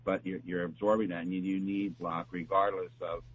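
A man with a deep voice explains things like a doctor on a talk show. He goes haltingly, circling back and repeating himself. The sound is muffled, with a faint hum behind him. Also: level tone. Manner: halting and repetitive. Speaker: doctor giving health advice on a talk show. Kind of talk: conversational medical explanation. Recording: dull, noisy line with hum.

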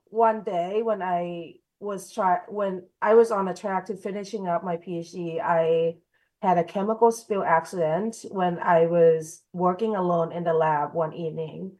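Thai-born woman in her 30s, earnest, lots of you know and um, lowish voice. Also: low-quality recording. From Thailand. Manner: earnest, many fillers and restarts. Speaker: guest, company founder. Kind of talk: conversational interview.

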